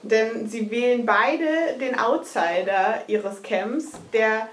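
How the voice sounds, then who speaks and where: A woman with a somewhat high voice talks through a TV episode, laying out her reasoning point by point. She sits a little back from the mic in an echoey room. A faint hiss runs under her voice. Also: slow, recorded from a distance. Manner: analytical, argues points at length. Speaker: podcast co-host. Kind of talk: casual TV recap chat.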